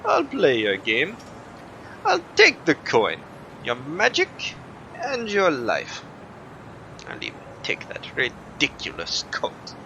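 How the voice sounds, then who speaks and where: An actor playing an arrogant, menacing supernatural villain in an audio drama, speaking with theatrical, mocking disdain. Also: male voice, a little high, wildly swooping pitch, slow pace, creaky, long pauses, bright, forceful tone, muffled recording, a little hiss or hum, heavily acted. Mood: mocking menace. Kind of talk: scripted audio drama acting.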